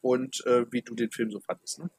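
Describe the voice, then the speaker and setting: A man's voice: medium pitch, fairly even intonation, fairly quick, a little mellow, slightly rough, no echo. A man hosting a podcast in casual chat, chatty and full of fillers. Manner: chatty, many fillers, talks of his age. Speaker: podcast host.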